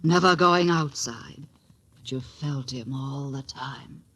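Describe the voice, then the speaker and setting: A woman playing a fearful, brooding widowed mother in halting, melodramatic 1940s radio style. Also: extremely low pitch, a bit bright, slightly rough, heavily acted. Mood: haunted, anxious.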